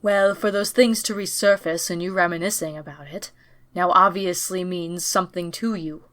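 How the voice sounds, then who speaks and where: A woman's voice: on the low side, steady tone, normal speed, fairly bright tone, no echo, studio quality, natural voice. A woman voicing a blunt, sardonic, haughty pony princess in a cartoon-style audio drama. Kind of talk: animated-series fan audio drama.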